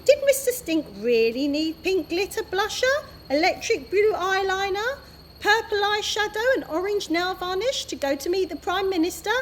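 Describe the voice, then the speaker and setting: Expressive woman reading a children's story. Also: extremely high pitch, moderate pace, a little hiss or hum. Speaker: early years practitioner. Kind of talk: reading a children's book aloud.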